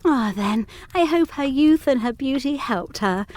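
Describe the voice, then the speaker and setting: Woman acting earnest young governess, high and formal. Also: animated, darkish tone. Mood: probing. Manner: formal, measured period diction. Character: young pretty governess, earnest, questioning, protective. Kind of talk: audio drama acting of a gothic period story.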